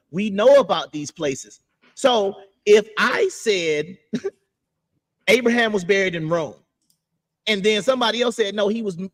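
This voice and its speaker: High-voiced man explaining on a podcast. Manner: explains step by step, repeats himself, talks over interruptions. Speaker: podcast host. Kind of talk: casual podcast discussion.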